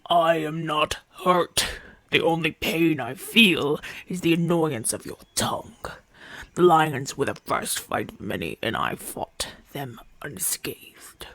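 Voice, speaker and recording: Casting: male actor as haughty Roman gladiator, gruff and imperious, creaky voice, unhurried delivery, breathy murmurs, for ASMR roleplay. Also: fairly high pitch, lively intonation, a bit bright, no room sound, exaggerated voice. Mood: haughty, guarded. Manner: imperious, formal, dismissive. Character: proud, haughty Roman gladiator champion, gruff but softening. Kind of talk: ASMR audio roleplay acting.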